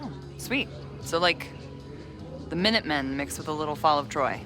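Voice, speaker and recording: A woman's voice: low-pitched, animated, normal speed. A woman playing a blunt, teasing young venue worker in a video game, with casual, slangy, naturalistic delivery. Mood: friendly, teasing. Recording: music under the voice.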